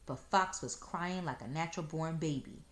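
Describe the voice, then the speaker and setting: A woman reading a children's story aloud in expressive narration. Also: deep voice, normal speed.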